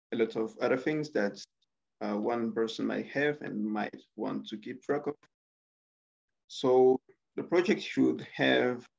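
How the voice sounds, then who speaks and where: A young man talks through his coding project on a video call. He speaks very slowly, pausing on filler sounds, and his voice is strongly gravelly. The audio is a little dull and lo-fi. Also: mid-range voice, darkish tone. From Rwanda. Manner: hesitant, many filler sounds. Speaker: student presenting a coding project. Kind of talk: screen-share software demo.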